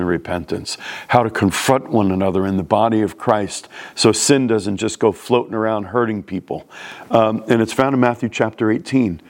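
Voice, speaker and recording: Blunt New York preacher man. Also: on the low side. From New York City. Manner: plain-spoken, blunt, conversational preaching. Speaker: pastor. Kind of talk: sermon.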